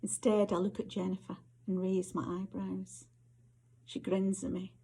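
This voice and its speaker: A woman with a Scottish accent reading short stories aloud as an author, her voice husky. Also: mid-range voice, flat delivery, a little slow, warm tone. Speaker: author reading their fiction.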